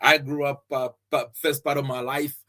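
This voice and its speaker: A man's voice: medium pitch, little pitch movement, moderate pace, pushed and bright. A man from Zambia hosting a faith-based podcast, teaching earnestly, repeating his key phrases and often restarting with fillers. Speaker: podcast host and preacher.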